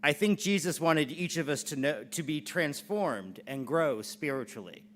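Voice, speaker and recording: A man's voice: fairly high pitch, expressive, normal speed, fairly bright tone. A man playing Thomas, an earnest, devout disciple of Jesus, speaking in a declamatory, preacherly way in a church dramatic reading. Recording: clear background noise and hum.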